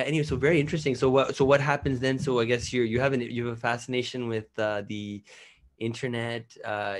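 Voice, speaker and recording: A man from Canada hosting a casual interview from a home studio, asking curious questions in a chatty way with lots of fillers. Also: on the low side. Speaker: podcast host. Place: home studio with green lighting. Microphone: headset with studio mic.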